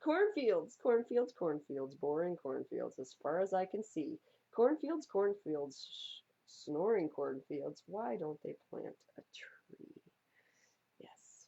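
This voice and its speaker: A woman reads a children's picture book aloud with playful, theatrical emphasis. Her voice is husky and mellow with some creak in it. The recording is slightly dull and lo-fi. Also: sits low, sing-song, measured pace. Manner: playful, theatrical, stresses and repeats words for young listeners. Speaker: library storytime reader. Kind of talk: expressive read-aloud of children's picture books.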